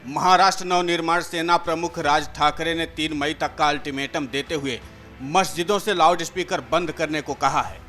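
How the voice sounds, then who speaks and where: A man's voice: a little high, steady tone, normal speed, sharp tone. A man presenting scripted news narration and political-style analysis as a news presenter. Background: background music.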